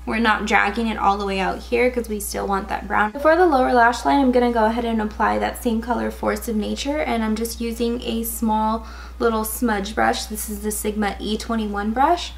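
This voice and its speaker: A young woman in her twenties with a raspy, slightly hoarse voice, chatting casually through a makeup tutorial with lots of "um" and "like". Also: fairly high pitch, steady tone, a little fast. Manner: casual, chatty, many fillers like "um" and "like". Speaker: beauty vlogger. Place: indoors, in front of a gold sequin backdrop.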